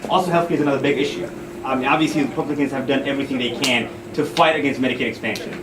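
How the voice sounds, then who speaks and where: A man's voice: mid-range voice, fairly even intonation, rapid-fire. A man giving an informal political speech, conversational and repeating phrases for emphasis. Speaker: community speaker and event host. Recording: distant mic in noisy echoey room.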